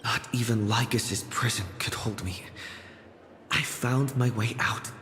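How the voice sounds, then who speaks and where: A man's voice: medium pitch, fairly even intonation, measured pace, a bit bright, slight background noise, lightly acted. A man playing a bewildered but determined young traveler in an anime game, speaking in hesitant, pensive monologue. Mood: puzzled, uneasy.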